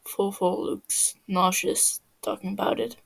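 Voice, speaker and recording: A woman reading a fan fiction story aloud in a hesitant, halting narration. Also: mid-range voice, flat delivery, creaky, breathy edge, low-quality recording.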